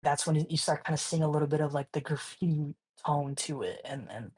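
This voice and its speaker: Young dude from hawaii, husky voice, super casual, lots of likes and you knows. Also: male voice, on the high side, fairly even intonation, a little fast. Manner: laid-back slangy talk, many "like" and "you know". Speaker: guest artist. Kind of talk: casual interview chat.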